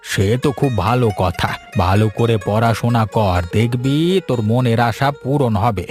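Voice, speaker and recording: A man's voice: mid-range voice, unhurried, a little mellow, soft background music, natural voice. A man playing a kind, encouraging village schoolteacher in a fairy-tale cartoon, fatherly in manner.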